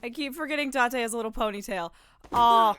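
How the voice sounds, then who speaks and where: A woman's voice: a little high, fairly quick, fairly bright tone. A woman co-hosting a let's play, giving casual, wry commentary with plenty of swearing. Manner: swears freely, wry banter. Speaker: co-host of a game let's play. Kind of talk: casual joking game commentary. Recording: game music faintly behind. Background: faint video game music.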